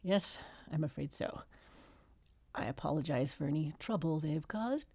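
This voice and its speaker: Woman reading a formal, courteous nobleman, mellow. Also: low voice, animated, moderate pace, husky, no room sound, exaggerated voice. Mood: apologetic. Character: formal, courteous nobleman, proud but apologetic. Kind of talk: storybook character reading.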